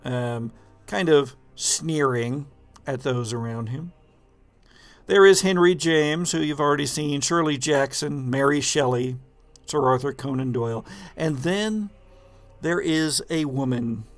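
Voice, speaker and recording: A man narrating an improvised scene as game master of a tabletop horror game, with halting, deliberate descriptive phrasing. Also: fairly high pitch, soft background music.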